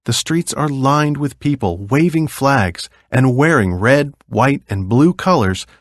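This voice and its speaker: An American man narrates educational material for children. His voice sits at a medium pitch, and he reads in a clear, measured way. The sound is very dry, with no room to it. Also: animated, dry recording. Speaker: narrator of children's read-aloud.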